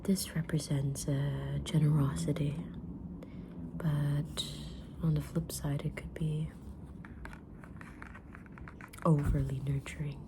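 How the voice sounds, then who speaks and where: A woman playing a kind, mysterious tarot-reading witch in a gentle, breathy whisper for an ASMR roleplay. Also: low voice, noisy background. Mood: gentle, counselling. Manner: gentle, deliberate, soft-spoken, drawn-out pauses.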